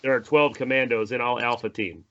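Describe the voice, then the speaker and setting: Bright-voiced man reading screenplay directions. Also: medium pitch, steady tone, a little fast. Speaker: narrator reading stage directions. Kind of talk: screenplay table read narration. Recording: dull lo-fi audio with faint noise.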